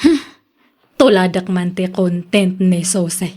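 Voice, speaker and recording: A woman's voice: lowish voice, slow, dark tone. An actress with an Ilocano accent playing a stubborn, vain woman vlogger hungry for fame, in theatrical radio drama style. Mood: defiant, self-important.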